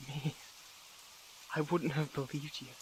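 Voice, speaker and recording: A man playing a weary, defiant young superhero in a dramatic audio roleplay, speaking haltingly and broodingly to the listener. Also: on the high side, flat delivery, very noisy, close to an everyday voice. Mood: bitter, reflective.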